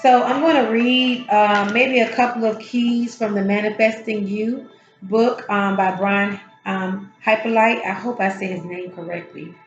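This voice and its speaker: A woman hosting a tarot and spiritual channel, her voice a little hoarse, talking slowly and encouragingly from her home healing space. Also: medium pitch, fairly even intonation, reverberant, dull audio, soft background music. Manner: soft, unhurried, encouraging, with drawn-out pauses. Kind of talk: casual spiritual encouragement talk.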